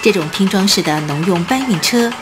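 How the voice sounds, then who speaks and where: A woman narrating a scripted radio drama in an expressive storytelling manner. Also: medium pitch, brisk, music in the background, close to an everyday voice.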